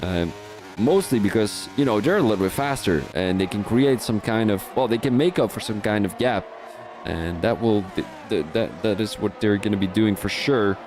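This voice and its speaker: A man's voice: fairly low pitch, lively intonation, normal speed, over music. A young Dutch man with a Dutch accent, commentating a sim racing league in a chatty, rambling way with many restarts. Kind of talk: live race commentary mixed with casual chat.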